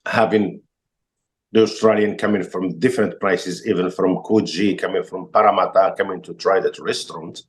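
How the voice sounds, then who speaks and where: Deep-voiced man with a Moroccan accent. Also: fairly even intonation, moderate pace. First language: Arabic. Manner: hesitant, many fillers and restarted words, non-native phrasing. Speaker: chef and restaurant owner, guest. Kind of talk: casual interview conversation.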